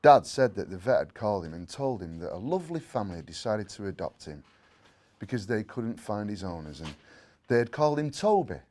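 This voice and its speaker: Animated man reading a children's story. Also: lowish voice, fairly quick. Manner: expressive, with playful asides. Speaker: story reader.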